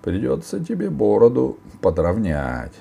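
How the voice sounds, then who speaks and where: A man's voice: lowish voice, wildly swooping pitch, a little slow, creaky, dark tone, breathy edge, voice pushed up, exaggerated voice. A man voicing a bold, blunt fairy-tale warrior who speaks in a commanding, threatening way. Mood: stern interrogation. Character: stern, bold, blunt male bogatyr warrior.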